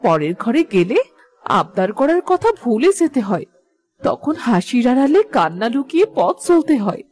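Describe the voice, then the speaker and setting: A woman acts a gentle cartoon mother from a poor village household. Her voice is a touch high and very melodic. She talks in a soothing, wistful way. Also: very animated, unhurried. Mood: wistful, consoling. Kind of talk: Bengali moral-story cartoon voice acting.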